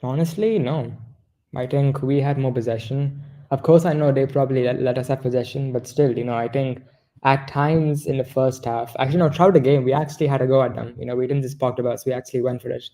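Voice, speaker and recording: A man's voice: mid-range voice, steady tone, fast talker, warm tone. A man co-hosting a casual football discussion, rambling and often correcting himself mid-sentence. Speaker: football podcast co-host.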